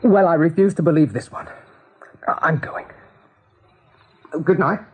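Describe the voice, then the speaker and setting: An actor playing a bold, stubborn young male rabbit leader in a radio play, brisk and self-assured. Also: a little high, natural voice. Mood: irritated, stubborn. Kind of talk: radio drama acting.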